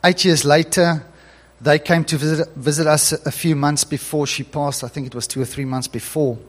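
Man, pastor, Afrikaans first language, earnest, emphatic preaching, fairly high pitch. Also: fairly even intonation, normal speed. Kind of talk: sermon.

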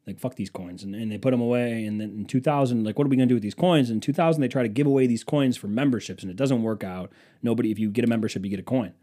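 A man's voice: mid-range voice, warm tone. A man in his twenties telling a long, wandering story to his phone camera in a museum, profane and self-mocking. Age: 20s. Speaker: vlogger. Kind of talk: rambling casual storytelling. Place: museum exhibit, indoors.

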